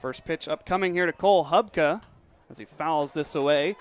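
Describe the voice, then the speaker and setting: A man's voice: fairly high pitch, normal speed, clear, resonant tone. A young man hosting a baseball radio broadcast from a stadium booth, mixing play-by-play calls with interview questions. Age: young adult. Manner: steady announcer delivery mixing calls and questions. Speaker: play-by-play announcer and host.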